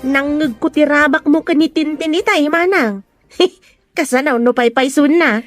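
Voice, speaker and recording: A woman's voice: sits high, normal speed, no room sound, soft background music, natural voice. A woman playing a protective, neighbourly village mother with an Ilocano accent in a radio drama. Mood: chatty.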